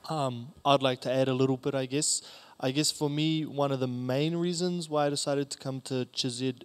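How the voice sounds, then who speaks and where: A man's voice: mid-range voice, fairly even intonation, moderate pace, clean tone. A young man with a New Zealand accent, a first-year student, speaking in an easygoing way. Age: young adult. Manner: easygoing, laughs a bit. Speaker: student panelist.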